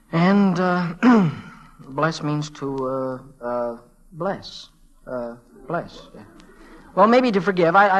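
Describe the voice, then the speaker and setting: A man's voice: mid-range voice, fairly bright tone, lightly acted, poor audio. An actor playing an earnest, polite teenage messenger boy who stammers and hesitates, in an old radio drama. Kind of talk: 1940s-50s radio drama acting.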